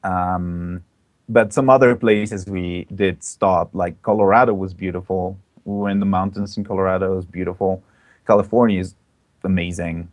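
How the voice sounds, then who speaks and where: Young guy from belgium, low voice, dry close sound, chatty and excited, lots of uhs, on a call. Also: normal speed, no echo. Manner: enthusiastic, chatty, many fillers. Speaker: guest. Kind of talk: casual interview chat. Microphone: online call mic.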